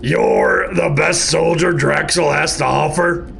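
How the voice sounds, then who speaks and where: An actor playing a big, brash, blunt soldier in an audio drama. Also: male voice, fairly high pitch, fairly even intonation, slow pace, vocal fry, a bit bright, faint music behind, exaggerated voice. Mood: scornful.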